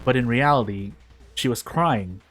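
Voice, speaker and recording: A man's voice: mid-range voice, unhurried, fairly bright tone, faint music behind. A man reading a fan fiction story aloud in a dramatic narrating style.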